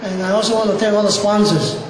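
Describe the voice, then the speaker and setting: Man, ceremonial speech, halting, echoey banquet hall, crowd behind. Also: high voice, fairly even intonation. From Hong Kong. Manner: halting, many "uh" pauses, loose grammar. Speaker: city council member giving a speech. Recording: echoey hall, distant mic, crowd noise.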